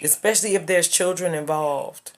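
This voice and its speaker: A woman in her thirties with a lowish voice delivers a casual vlog monologue. She lectures her viewers emphatically and hammers her points home through repetition. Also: normal speed. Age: 30s. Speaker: YouTuber giving life advice.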